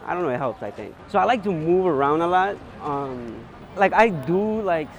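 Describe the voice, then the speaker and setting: A man's voice: a little high, lively intonation, moderate pace. A young man with a New York accent chatting casually and enthusiastically, using slang and plenty of filler "like". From New York City, USA. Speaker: street photographer, guest. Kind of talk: casual chat. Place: outdoors on a busy city street. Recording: clear outdoor street and traffic noise.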